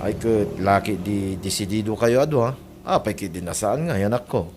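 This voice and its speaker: A man's voice: on the low side, normal speed, noisy background, natural voice. An actor playing a middle-aged village father in a comedy radio drama, with an Ilocano accent. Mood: questioning. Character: village father and husband, questioning. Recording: loud music behind the voice.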